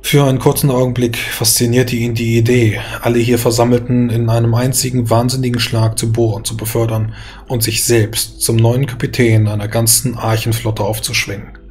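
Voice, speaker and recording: A man's voice: mid-range voice, slight background noise. A man reading a scripted, dramatic fantasy story as its narrator.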